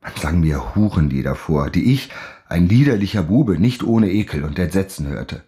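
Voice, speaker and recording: An actor playing a proud, embittered convict who recounts his downfall in measured, formal phrases in a literary radio play. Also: deep voice, expressive, mellow. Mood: brooding, confessional. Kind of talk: dramatic first-person monologue in a literary radio play. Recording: studio radio play with music underneath.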